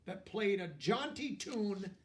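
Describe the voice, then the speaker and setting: High-voiced man reading aloud, slightly distant. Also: slow pace. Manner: animated, explains words and pictures to young listeners. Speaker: storyteller reading a picture book aloud. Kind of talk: expressive read-aloud narration with asides.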